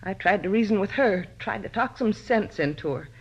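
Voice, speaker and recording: A woman's voice: mid-range voice, lively intonation, moderate pace, slightly rough. A middle-aged faded female star, possessive and bitter. She pleads and justifies herself in the heightened style of 1950s radio drama. The recording is dull, vintage lo-fi broadcast sound.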